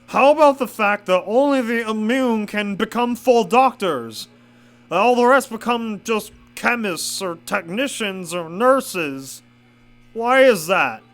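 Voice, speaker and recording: A man's voice: high-pitched, animated, slow pace, a bit bright, soft background music, full character voice. An actor playing a suspicious, bitter, grieving and drunk male maintenance pony in an audio drama. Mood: challenging, agitated. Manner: challenging, conspiratorial.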